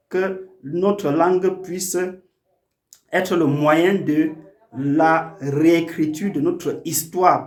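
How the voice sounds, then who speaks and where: A man's voice: sits high, echoey room. A man who hosts a video channel and makes a formal, earnest appeal to his community, with frequent hesitations. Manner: formal, oratorical, many hesitations. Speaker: language activist and YouTube channel host.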